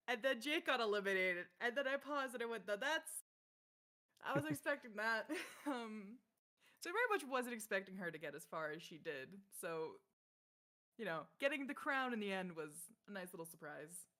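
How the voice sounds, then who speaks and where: A woman's voice: medium pitch, expressive, normal speed, pushed and bright. A woman answering a fan question in a chatty, rambling way, full of "like". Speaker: voice actor guest. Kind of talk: casual live-stream Q&A.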